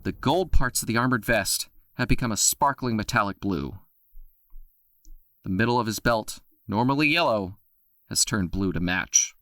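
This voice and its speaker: A man narrating an audio drama with a steady, scripted storytelling delivery. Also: lowish voice, normal speed, no echo, studio quality.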